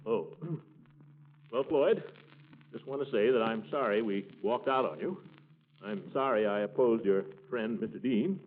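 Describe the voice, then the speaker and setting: An actor playing a pompous, blustering middle-aged water commissioner in 1940s radio comedy, eager and easily flustered. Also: male voice, fairly low pitch, measured pace, natural voice. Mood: contrite, earnest. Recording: muffled, noisy 1940s radio recording.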